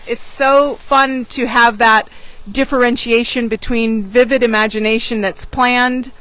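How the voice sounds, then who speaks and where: A woman who grew up in England with American parents tells a personal story as a manifestation coach, expressively, with dramatic pauses for emphasis. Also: on the high side, fairly bright tone, rough recording. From born in Japan, grew up in England, American parents. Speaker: manifestation coach and class teacher. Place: outdoors on a beach.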